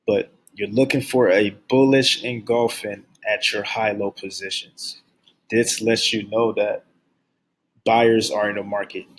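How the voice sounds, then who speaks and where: Low, flat-voiced man teaching trading. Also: slight background noise, poor audio. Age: young adult. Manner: speaks low and subdued on purpose; repetitive, drills key phrases. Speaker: forex trading instructor. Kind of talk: screen-share trading lesson. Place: at his workplace.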